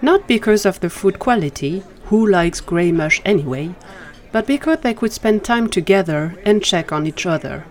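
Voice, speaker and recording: A woman's voice: mid-range voice, animated, moderate pace. A woman reading scripted fiction as a storytelling narrator. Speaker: narrator of fan fiction audio drama.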